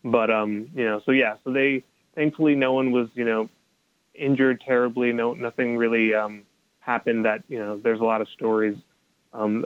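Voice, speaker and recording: A man's voice: on the low side, dry recording. A documentary filmmaker who grew up in the United States, a Colombian American man, answering interview questions hesitantly, with many restarts. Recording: dry tinny phone-like line.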